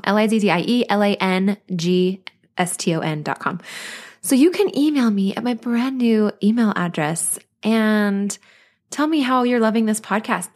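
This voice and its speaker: A woman hosts her own podcast in a casual solo monologue. She talks in a bubbly, earnest way, chatty and warm-hearted in her words. Her voice sits at a middle pitch for a woman. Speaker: podcast host and life coach.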